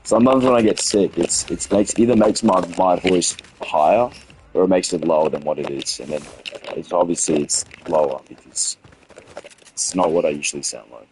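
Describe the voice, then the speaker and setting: A young man with a hoarse, sick-sounding voice gives rambling, swearing commentary as he streams a game and chats with viewers. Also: low voice, moderate pace, a little mellow, slight background noise, rough recording. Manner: rambling, swearing, frequent restarts. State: hoarse from a cold. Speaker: gaming streamer. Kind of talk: casual livestream gameplay commentary.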